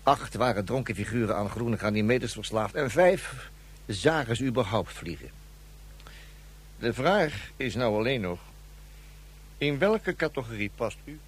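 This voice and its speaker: An actor playing a weary, sardonic police inspector in a radio drama, dry and officious, with a husky voice. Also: male voice, medium pitch, animated, a little slow, long pauses. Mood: weary sarcasm.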